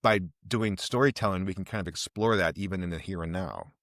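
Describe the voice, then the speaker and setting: A man's voice: sits low, a little fast, a bit bright, dry recording, clean studio sound. A man hosting an interview podcast, talking casually and reflectively, thoughtful and repeating phrases for emphasis.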